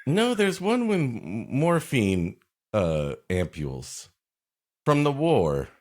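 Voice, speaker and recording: A man's voice: mid-range voice, very animated, takes it slowly, a little mellow. A man co-hosting a comedy podcast, cutting in with rambling jokes. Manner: rambling, jokey interruptions. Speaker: podcast co-host.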